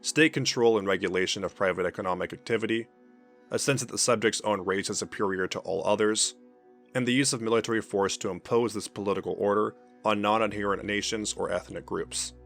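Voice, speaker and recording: Low-voiced man narrating over music. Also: vocal fry, a bit bright. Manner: formal, measured delivery. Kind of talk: scripted narration of a fictional scientific report.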